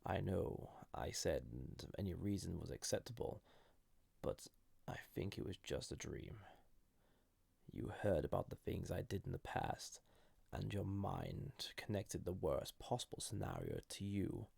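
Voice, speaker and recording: Male actor as banished ancient entity for intimate audio roleplay: very gravelly, unhurried, hesitant, flirty with a dangerous edge. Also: sits low, sing-song, no room sound, exaggerated voice. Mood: measured, resigned. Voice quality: gravelly, husky, breathy. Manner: hesitant, softly intimate, deliberate. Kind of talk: scripted audio roleplay addressed to listener.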